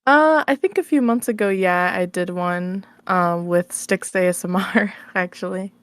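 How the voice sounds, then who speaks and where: A woman with a slightly low voice answers questions in a casual interview. She is giggly and informal, feeling her way through sentences. The recording is dry and close. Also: unhurried, clear, resonant tone, no room sound. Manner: giggly, informal, hesitant phrasing with many likes. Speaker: interview guest, ASMR roleplay creator.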